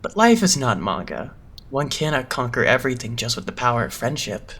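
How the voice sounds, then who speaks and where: A man's voice: mid-range voice, big pitch swings, fairly bright tone. Man playing a composed, lofty young idol leader. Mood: smooth, assured. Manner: measured, pompous, smoothly condescending. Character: composed, eloquent young male idol leader, loftily polite. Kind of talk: anime-style fandub voice acting.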